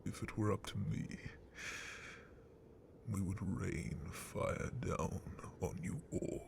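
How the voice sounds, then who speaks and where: A man playing a sadistic, haughty dragon captain of the guard in an ASMR roleplay, speaking with menacing contempt in a breathy, gravelly whisper. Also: deep voice, background noise, exaggerated voice. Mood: disdainful, brooding. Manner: menacing, contemptuous, drawn-out theatrical delivery.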